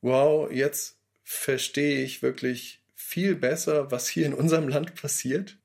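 A man gives a reflective interview statement at an unhurried, slow pace. His mid-pitched voice has a noticeable gravelly creak. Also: fairly even intonation, darkish tone. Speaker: radio drama maker.

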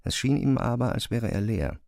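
A man's voice: mid-range voice, expressive, normal speed, gravelly, darkish tone, no echo, studio quality. A man narrating literary fiction from a script for an audio drama.

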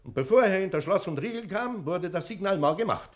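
Blustering male prison director: officious, scoffing, higher-pitched voice, very animated delivery, vintage radio drama. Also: fast talker. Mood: sceptical, officious. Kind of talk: 1960s German radio drama acting.

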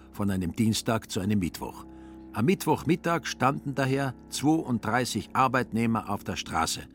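A man's voice: mid-range voice, fairly bright tone. A man narrating a literary radio play in a dry, ironic storytelling manner. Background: music.

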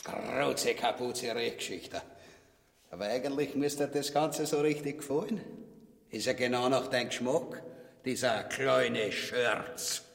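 A man's voice: mid-range voice, a little slow, vocal fry. An actor playing a vulgar, gloating petty tyrant king in a grotesque radio comedy, mocking and rambling in Viennese dialect. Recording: echoey space with long reverb.

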